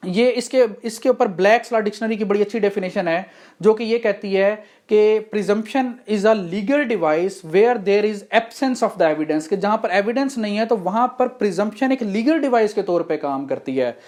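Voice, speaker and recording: A woman's voice: mid-range voice, unhurried, a little mellow. A woman with a Pakistani accent giving an explanatory law lecture, methodically defining terms step by step and posing rhetorical questions. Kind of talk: explanatory lecture mixing Hindi-Urdu and English.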